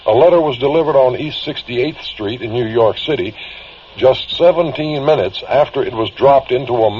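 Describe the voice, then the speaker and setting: An actor playing an earnest amateur investigator, narrating uncanny anecdotes in a measured, reflective radio drama style. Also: male voice, fairly low pitch. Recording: dull, slightly noisy vintage radio recording.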